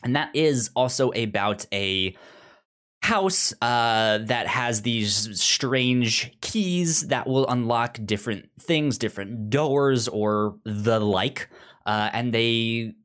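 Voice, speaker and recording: A man hosting a podcast in casual review chat, speaking haltingly and often repeating syllables and words. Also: medium pitch, a bit bright. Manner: halting, frequent stutter-like repetition of syllables and words. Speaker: podcast host.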